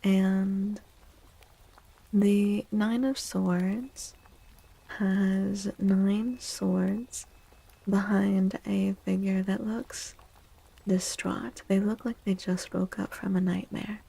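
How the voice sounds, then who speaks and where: A woman streaming tarot readings in a soft ASMR style, gentle and breathy, often near a whisper with unhurried pauses. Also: medium pitch, level tone.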